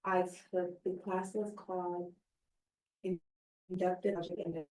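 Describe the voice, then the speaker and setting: A woman's voice: on the low side, level tone, unhurried, warm tone. A young woman in her twenties, a recent college graduate, speaking earnestly and expressively in a casual conversation. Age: 20s. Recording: roomy sound, somewhat distant mic.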